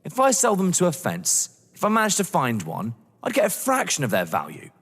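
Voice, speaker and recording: Man playing a wary young lover, wildly swooping pitch. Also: wildly swooping pitch, a little fast, a bit bright, slightly rough, exaggerated voice. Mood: sceptical. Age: young adult. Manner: sceptical, blunt, questioning. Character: young male lover, wary, sceptical, cheekily blunt. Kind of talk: theatrical audio drama acting. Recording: echoey hall-like reverb.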